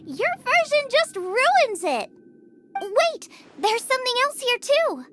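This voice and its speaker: Teenage girl chef, game character, very high voice, swooping intonation, eager, bubbly, food-obsessed. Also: big pitch swings, takes it slowly, heavy background noise, heavily acted. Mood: excited. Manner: enthusiastic, exclaiming. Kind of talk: video game character acting.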